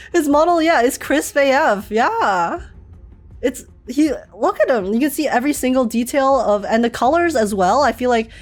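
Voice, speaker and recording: A woman's voice: sits high, expressive, normal speed. A young woman giving casual, teasing, slangy live reactions as a game streamer. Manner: playful, teasing, giggly, slangy.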